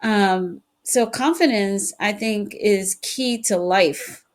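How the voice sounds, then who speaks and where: A woman's voice: medium pitch, slow. A woman hosting a podcast interview in a casual, agreeable way, Spanish her first language. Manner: chatty, agreeing often. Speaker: podcast host.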